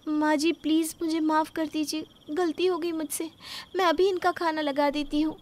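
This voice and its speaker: A woman's voice: high-pitched, flat delivery, moderate pace, voice pushed up, lightly acted. A woman voicing a young daughter-in-law in a moral story, meek, sweet, polite and eager to please. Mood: apologetic. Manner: pleading, soft-spoken politeness. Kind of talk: acted Hindi moral-story dialogue.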